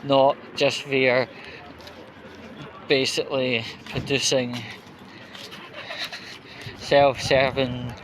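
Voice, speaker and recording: A man giving off-the-cuff political commentary outdoors on a busy street, halting and emphatic, often repeating words. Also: mid-range voice, flat delivery, a bit bright. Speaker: vlogger. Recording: noisy street ambience. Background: street noise.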